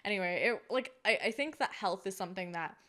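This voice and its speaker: A woman's voice: medium pitch, fast talker, fairly bright tone. A woman in her thirties, a Kannada speaker from India with a mixed Welsh and Canadian accent, chatting as an interview guest, laughing often. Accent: mixed Welsh and Canadian English. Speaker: startup founder, interview guest. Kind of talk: casual interview conversation.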